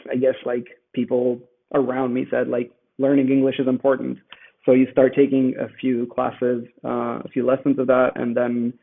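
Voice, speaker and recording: Gravelly man on a tinny call line. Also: medium pitch. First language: Italian. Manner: thoughtful, many restarts and fillers. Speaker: author, guest. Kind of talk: conversational interview. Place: home in Rome. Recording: tinny phone-like remote call.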